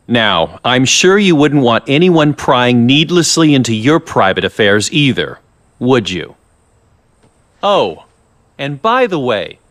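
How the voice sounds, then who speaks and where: An actor playing a calm, scheming research director in a video game, with measured, condescending politeness and slyly menacing manner. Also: male voice, medium pitch. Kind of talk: English video game voice acting.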